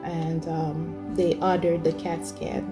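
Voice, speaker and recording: A woman's voice: low voice, completely flat delivery, takes it slowly. A woman in her forties telling her personal testimony in a hesitant way as host. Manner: hesitant, many fillers and restarts. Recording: music bed behind.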